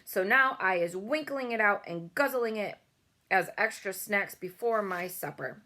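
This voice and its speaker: Woman putting on a booming, bullying man-eating giant. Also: lively intonation, unhurried. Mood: accusing. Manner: shouting, bullying, mangled grammar.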